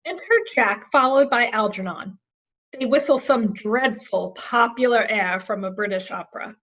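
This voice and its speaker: A woman's voice: a little high, normal speed, a bit bright. A woman reading stage directions as a scripted narrator for a play, on a lo-fi recording. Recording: dull, lo-fi sound.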